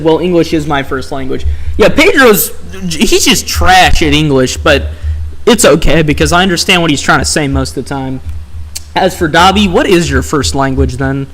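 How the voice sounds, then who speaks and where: A man's voice: on the high side, poor audio. A man streaming a video game, chatting casually and scolding the game as he plays. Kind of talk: casual gameplay commentary.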